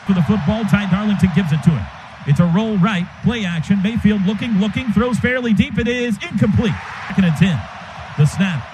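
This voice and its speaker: A man calling a football game play by play for radio, in quick clipped bursts that jump up on big plays. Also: high voice, expressive, darkish tone. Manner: rapid, clipped calls that rise sharply on big plays. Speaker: play-by-play announcer. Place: stadium radio booth. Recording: noisy stadium radio broadcast.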